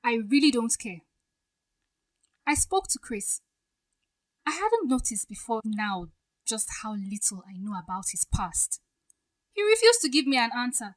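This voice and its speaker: A woman's voice: fairly high pitch, big pitch swings, measured pace, long pauses, low-quality recording. A woman playing a defiant, wounded young bride-to-be, speaking firmly and pointedly in a radio drama. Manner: firm, pointed.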